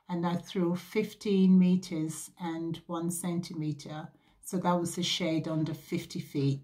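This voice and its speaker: A woman in her sixties, originally from Barbados, recalling her years as an athlete in a measured, reflective way with frequent pauses and ums. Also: fairly low pitch. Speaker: former athlete sharing memories. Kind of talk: reflective personal recollection.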